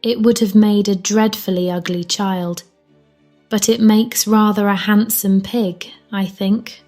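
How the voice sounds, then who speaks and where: A woman's voice: mid-range voice, steady tone, a little slow, darkish tone, close to an everyday voice. A woman voicing a polite, curious, literal-minded little girl in a storybook, speaking precisely over music. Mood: musing.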